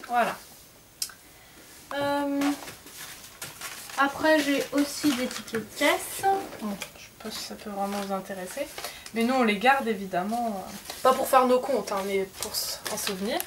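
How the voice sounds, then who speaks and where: Woman, vlogger, slightly high voice, casual show-and-tell, halting with fillers, a bit distant from the mic. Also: noisy background. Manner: hesitant, many fillers and self-corrections. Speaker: vlogger showing souvenirs.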